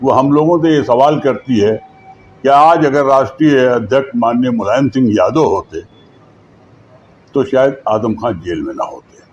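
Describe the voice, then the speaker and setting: A man giving an interview statement as a party politician, deliberate and phrase by phrase. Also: medium pitch, darkish tone. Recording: dull lo-fi sound, faint background noise.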